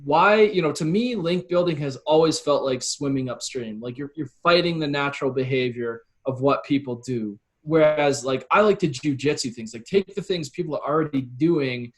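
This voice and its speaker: A man's voice: a little high, normal speed, hardly any pauses. A man speaking as a podcast guest, giving his opinions in casual, colourful conversational language.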